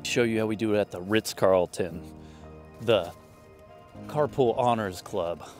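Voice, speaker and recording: Low-voiced man vlogging outdoors. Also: a little slow, a little mellow. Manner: upbeat, joking tour-guide patter. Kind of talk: casual travel vlog. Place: outdoors on a forested coast. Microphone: phone at arm's length. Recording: music playing behind.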